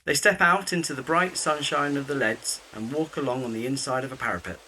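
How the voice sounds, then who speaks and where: A man's voice: mid-range voice, brisk, sharp tone, slight background noise, poor audio. A man narrating an audio drama, reading scripted scene descriptions in the present tense.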